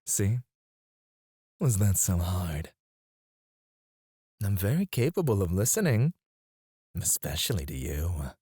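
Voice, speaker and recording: Man acting a low, breathy, possessive CEO. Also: very animated, mellow, no echo, studio quality, full character voice. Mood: mocking, playful. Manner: slow, deliberate, condescending, swinging between murmurs and outbursts. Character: obsessive possessive male CEO, coldly controlling, menacingly doting. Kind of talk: ASMR roleplay, intimate dramatic monologue.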